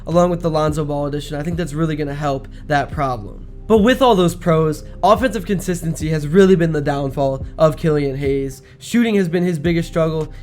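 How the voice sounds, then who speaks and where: Hoarse man talking basketball. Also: a little high. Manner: opinionated and energetic. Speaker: sports video host. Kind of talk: casual basketball commentary.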